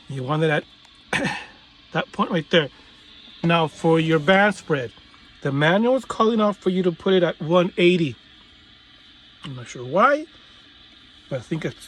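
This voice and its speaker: A man with a somewhat high-pitched voice presents a hobbyist tutorial. He speaks informally, as in a hands-on demonstration. His phrasing is instructional and halting, moving step by step. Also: a little hiss or hum.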